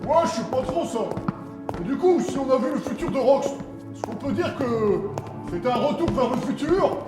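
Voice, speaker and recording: A man's voice: very high-pitched, lively intonation, moderate pace. A man playing a teasing, wisecracking adventurer who mocks his friends in a comic fantasy audio drama. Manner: joking, mocking. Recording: echoey, music underneath.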